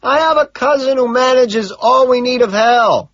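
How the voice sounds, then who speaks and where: A man as a smug banker yelling, high-pitched. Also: no echo, muffled recording. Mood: shouting, offhand. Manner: loud, drawn-out shouting. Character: smooth, smug young male banker colleague shouting back.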